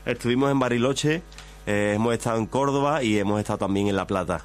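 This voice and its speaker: A man from Cádiz with an Andalusian accent, a flamenco musician on a radio interview, quick to agree and joke. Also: mid-range voice, faint music behind. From San Fernando, Cádiz, Spain. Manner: affirming, joking.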